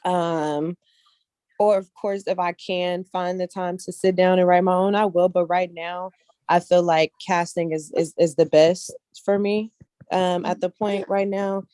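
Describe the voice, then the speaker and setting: A woman's voice: on the low side, fairly even intonation, a little slow, warm tone, no room sound. A woman speaking casually as an interview guest, repeating points for emphasis and often checking that the listener follows. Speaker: interview guest, casting director and actress.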